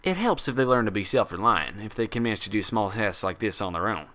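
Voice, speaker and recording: A man's voice: lowish voice, animated, fast talker, a bit bright. A man voicing a sensible, easily exasperated female pony doctor who explains things in a folksy way. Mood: reassuring.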